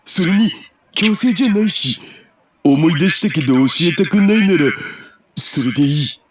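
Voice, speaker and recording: An actor playing a subdued, brooding young university student in an anime visual novel, with a husky voice. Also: male voice, fairly high pitch, lively intonation, quick pace. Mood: subdued, troubled.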